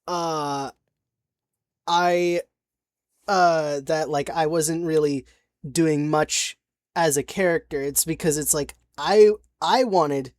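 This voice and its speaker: A young woman chatting casually about her tabletop game character, halting with many restarts and little giggles. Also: fairly low pitch, a little mellow, no room sound, studio quality. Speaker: player recounting a tabletop campaign. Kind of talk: casual rambling chat.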